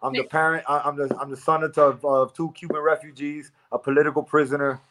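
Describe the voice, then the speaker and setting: A man's voice: a little high, fast talker. Laid-back man in his forties. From Miami, United States. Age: 40s. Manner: relaxed, laughs easily, stammers into answers. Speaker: fighter, guest. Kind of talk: casual interview chat.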